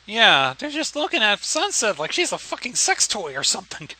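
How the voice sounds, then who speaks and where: Bright, high-voiced blunt male co-host. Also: wildly swooping pitch, moderate pace, poor audio. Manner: blunt, crude, swearing. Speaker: co-host commenting on a read-aloud story. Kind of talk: casual reaction commentary.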